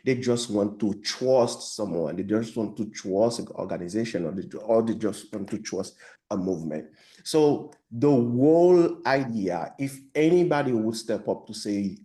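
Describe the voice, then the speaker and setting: A man's voice: medium pitch, mellow. A filmmaker from Haiti with a Haitian accent, answering questions thoughtfully on a video call, often restarting his sentences. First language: Haitian Creole or French. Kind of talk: post-screening Q&A over video call.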